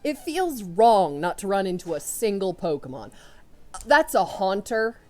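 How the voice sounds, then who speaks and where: A young woman commentating a video game run, loud and excitable, talking to her characters as she plays. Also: mid-range voice, a little slow. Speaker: let's player. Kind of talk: casual gameplay commentary.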